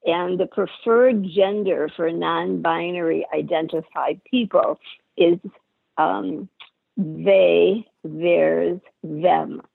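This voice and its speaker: A woman's voice: fairly low pitch, fairly even intonation, slow, gravelly, breathy edge, no room sound. A woman in her eighties, a guest in a casual interview, who talks frankly and often interrupts. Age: 80s. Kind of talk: conversational interview. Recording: tinny phone line.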